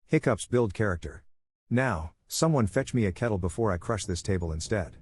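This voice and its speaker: Man as a low, husky deadpan monk. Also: normal speed, no echo, clean studio sound. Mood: dry, gruff. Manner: deadpan, gruff. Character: huge, unshakable, gruff deadpan monk.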